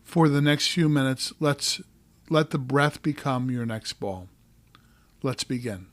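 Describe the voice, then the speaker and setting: A man narrating a guided meditation as a coach, giving calm, gentle instructions in short, measured phrases. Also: mid-range voice, no echo.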